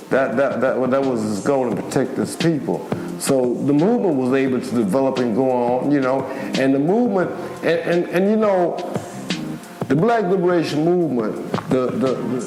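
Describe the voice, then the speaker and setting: Older American male interviewee, reflective and searching for words, recorded in an echoey space with clear music underneath. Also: mid-range voice. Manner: halting, repetitive, searching for words. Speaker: former activist giving a firsthand account. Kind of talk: spoken documentary interview, reflective recollection.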